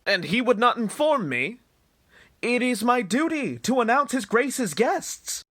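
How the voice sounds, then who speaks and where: A man plays a formal, easily affronted household servant in an audio drama. His voice is high and bright, swooping in fussy indignation as he announces and inquires. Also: very animated, unhurried, no echo, studio quality, full character voice. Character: proper, put-out manservant who announces guests. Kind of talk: amateur audio drama acting.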